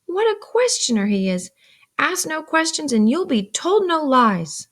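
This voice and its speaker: A woman's voice: sits high, very animated, a little slow, voice pushed up. A woman voicing a snappish, exasperated older sister scolding a child in a storybook reading. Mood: snappish, irritated.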